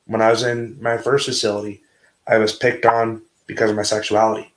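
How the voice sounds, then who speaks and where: A man's voice: on the low side, little pitch movement, brisk, reverberant, poor audio. A man hosting a casual, heated livestream chat, earnest and often stumbling over and repeating his words.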